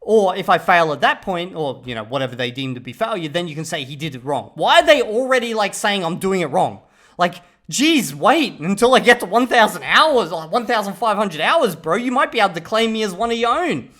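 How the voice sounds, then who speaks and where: Male vlogger, slightly high voice; animated, exasperated commentary, translating clips line by line. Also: fairly quick. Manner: animated, exasperated ranting with swearing. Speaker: language-learning vlogger. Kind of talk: casual commentary reacting to clips.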